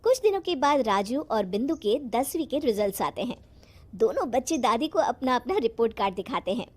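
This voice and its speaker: A woman with a high voice narrates a moral tale. Her delivery is scripted storytelling, setting out events as a narrator. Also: sing-song, brisk, slight background noise.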